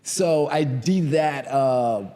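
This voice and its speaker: A man in his thirties with a Kenyan accent, a guest telling his story in a relaxed, casual way and often saying "you know what I mean". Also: on the high side, drawn-out words, in a large hall. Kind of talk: casual interview chat.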